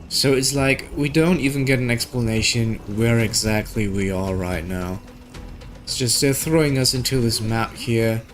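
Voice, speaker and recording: A man's voice: mid-range voice, normal speed. A man giving casual, rambling, opinionated commentary as he streams a video game. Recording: game music behind the voice. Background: video game music.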